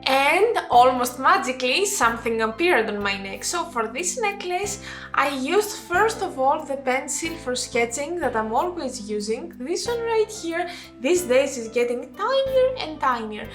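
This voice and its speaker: A Greek-accented woman explains a makeup routine step by step in a chatty, self-correcting manner. Her voice sits high, and the room around her is echoey. Faint music plays under her talk. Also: sing-song, measured pace, fairly bright tone. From Greece. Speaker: makeup artist and channel host. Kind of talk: narrated makeup tutorial.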